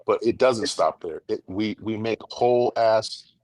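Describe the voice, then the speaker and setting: Low-voiced man, emphatic and repetitive. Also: unhurried, darkish tone, no room sound. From United States. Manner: emphatic, repeats words while building his point. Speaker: podcast host. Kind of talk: casual discussion.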